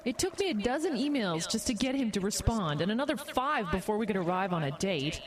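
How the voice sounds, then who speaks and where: Woman playing a tart, expressive official. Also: mid-range voice, crowd noise, natural voice. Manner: dry, brisk retorts. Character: tart, impatient female Canadian government official. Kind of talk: radio drama acting. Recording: water and storm effects behind.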